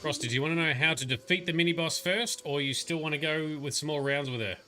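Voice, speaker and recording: Chatty bright-voiced male streamer. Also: on the high side, quick pace, a little hiss or hum. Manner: chatty, rambling, muttering asides and complaints. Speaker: livestreamer playing a game. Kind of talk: casual live gameplay commentary.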